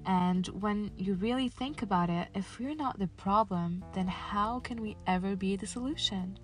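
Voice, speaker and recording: A woman's voice: medium pitch, moderate pace. A woman, hosting a scripted motivational podcast in an earnest, encouraging way. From Casablanca, Morocco. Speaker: podcast host.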